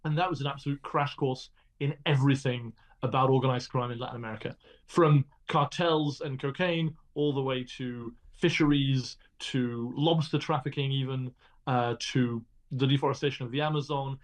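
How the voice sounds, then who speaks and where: A middle-aged man speaking as an interview guest, explaining in an articulate, measured way with the odd restart. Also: mid-range voice, steady tone. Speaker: guest, crime journalist.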